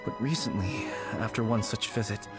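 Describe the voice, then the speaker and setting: A man's voice: on the low side, normal speed, over loud music, lightly acted. A man voicing an anxious, mournful, haunted man in a video game, speaking in a hesitant, wistful way. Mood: troubled.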